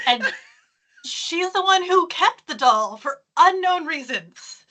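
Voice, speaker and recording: High, bright woman, drily funny. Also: expressive, takes it slowly, poor audio. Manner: dry, understated humour. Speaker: author being interviewed. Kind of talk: casual interview chat.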